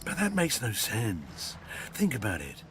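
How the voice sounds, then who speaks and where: Sly undead ferryman, wildly swooping pitch, unhurried, gleeful swindler, mock-polite, video game, music behind. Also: wildly swooping pitch, a bit bright, exaggerated voice. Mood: sly, theatrical. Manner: theatrical, mock-courteous, cackling. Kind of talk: video game character acting.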